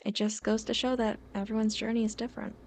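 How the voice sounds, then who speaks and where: A woman playing a warm, playful non-binary young adult friend in an ASMR roleplay, earnest and jokey. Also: on the high side, level tone, quick pace, slight background noise, lightly acted. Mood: sincere.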